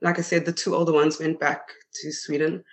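A woman's voice: low voice, little pitch movement, fairly quick. A young South African woman with a South African accent, chatting as a guest in a casual interview and laughing often.

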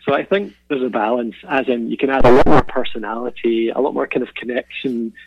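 A man's voice: medium pitch, a little fast, vocal fry, dry recording, lo-fi sound. A Scottish man chatting reflectively as an interview guest, laughing often and restarting his sentences. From Scotland. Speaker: podcasting guest. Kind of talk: casual interview chat.

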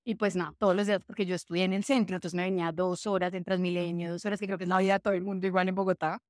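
A woman's voice: on the low side, flat delivery, racing, a little mellow, no room sound. Chatty young woman, dry sound. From Colombia. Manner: chatty, full of fillers like "o sea" and "pues". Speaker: guest, café co-founder. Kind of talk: casual conversational interview.

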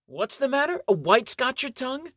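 A man's voice: fairly high pitch, sing-song, fairly quick, fairly bright tone, no echo, clean studio sound, voice pushed up. A man voicing an angry young female elf who snaps threats and gives orders. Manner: snappish, threatening.

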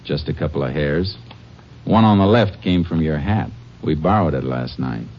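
Steady Ranger boss, low voice, terse orders, vintage radio drama, muffled with music. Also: male voice, moderate pace, exaggerated voice. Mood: measured, firm. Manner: terse, authoritative. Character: steady, commanding Texas Ranger lawman in charge. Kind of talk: 1950s radio crime drama acting.

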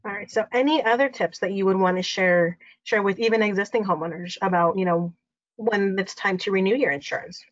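A woman's voice: medium pitch, a little fast. American woman interviewer, slightly dull audio. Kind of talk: casual interview chat.

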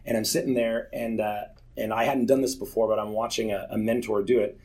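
A man's voice: fairly low pitch, little pitch movement, brisk, darkish tone, poor audio. A man in his forties giving an earnest, candid personal testimony to a church group, telling stories with self-deprecating humor. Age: 40s.

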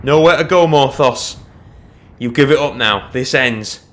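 A man voicing a fierce, defiant female watch officer in a fantasy audio story, forceful and demanding. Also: mid-range voice, a little slow, voice pushed up, close to an everyday voice. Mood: stern.

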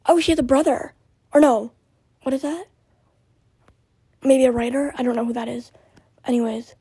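A young woman with a high, raspy voice hosts a casual podcast. She talks in a loose, scattered way, often slipping into whispered asides. The sound is dry and close. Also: moderate pace, mellow, dry recording. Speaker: podcast host. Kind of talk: casual rambling chat.

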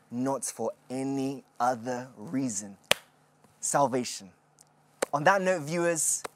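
A woman hosting a televised prayer session, preaching with measured emphasis and interviewing callers. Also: low voice, a little mellow, no echo. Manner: measured, emphatic, slow sermon-like delivery. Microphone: studio microphone.

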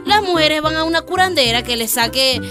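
A woman's voice: high-pitched, expressive, a little fast, over music. An actress playing an eager, earnest young woman activist in a radio drama.

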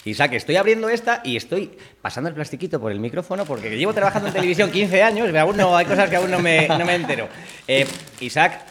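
A man's voice: sits high, animated, fast. A man hosting a talk show, chatting casually and teasing his guests as he wraps up. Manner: jovial, teasing, thanks guests warmly. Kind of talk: casual chat, wrapping up the show.

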